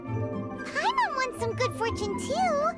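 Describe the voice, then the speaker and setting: Teeny eager fairy girl for a game, super high squeaky kid voice, loud music under it. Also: big pitch swings, takes it slowly, full character voice. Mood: cheerful, eager. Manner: squeaky, childlike, eager, refers to self by name. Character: tiny cheerful childlike floating fairy companion girl. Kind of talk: scripted video game dialogue.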